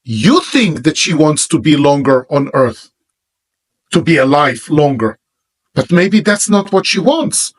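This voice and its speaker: A man with an Israeli accent, a native Hebrew speaker, preaching about prayer in an emphatic monologue with pauses between phrases. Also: a little high, big pitch swings. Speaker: religious teacher. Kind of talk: monologue sermon.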